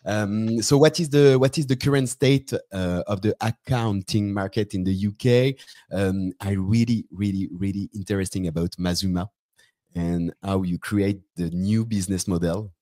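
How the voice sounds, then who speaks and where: A man's voice: fairly low pitch, normal speed, warm tone, dry recording, studio quality. A man with a French accent interviewing in English as his second language, hesitant and searching for words. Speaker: interviewer, podcast host.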